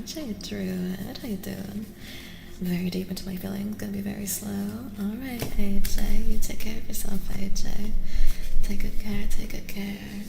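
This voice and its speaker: A woman hosting an ASMR tarot livestream, speaking softly and gently, often dropping into a breathy whisper and repeating phrases soothingly. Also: mid-range voice. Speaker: livestream host, tarot reader. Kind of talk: soft-spoken ASMR livestream chat with tarot reading. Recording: reverberant echo with music underneath. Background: music.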